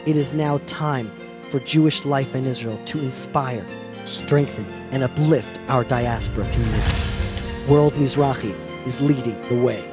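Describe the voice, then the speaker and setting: A man reading scripted promotional narration with steady, emphatic announcer delivery. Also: medium pitch, moderate pace. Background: music bed.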